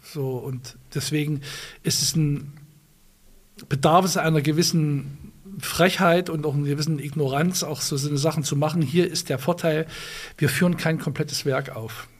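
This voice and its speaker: A German-speaking man with a slightly raised pitch talks in an interview. He is a musician who thinks aloud as he answers, trailing into fillers and restarting his sentences. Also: fairly even intonation, normal speed. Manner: hesitant, many restarts and fillers, thoughtful. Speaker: musician and guitarist, interview guest. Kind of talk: conversational interview.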